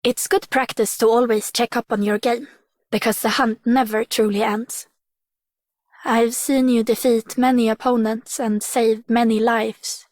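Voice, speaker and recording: An actress playing a stern, watchful huntress who speaks bluntly in a game monologue. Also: female voice, a little high, flat delivery, a little slow, darkish tone, no room sound, close to an everyday voice. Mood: blunt, approving. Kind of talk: scripted game character monologue.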